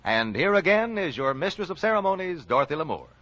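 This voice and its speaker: A man's voice: a little high, sing-song, fast. An American man reading scripted sponsor copy as an announcer on a 1940s radio broadcast. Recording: dull vintage 1940s radio recording, faint music.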